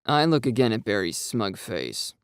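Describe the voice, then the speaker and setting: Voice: medium pitch, very animated, normal speed, clear, resonant tone, no room sound. A narrator reading a dramatised first-person story in a scripted style. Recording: dry, music behind. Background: background music.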